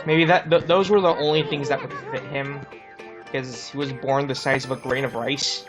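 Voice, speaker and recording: A woman's voice: rumbling low, fairly even intonation, normal speed, music in the background. A woman co-hosting a let's play, giving casual gameplay commentary in a hesitant, conversational way.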